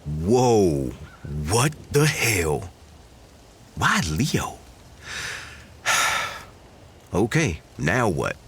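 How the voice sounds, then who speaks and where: An actor playing a shaken, grieving man in an audio drama, murmuring halting exclamations. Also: on the low side, very animated, a bit bright, a little hiss or hum, heavily acted. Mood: bewildered.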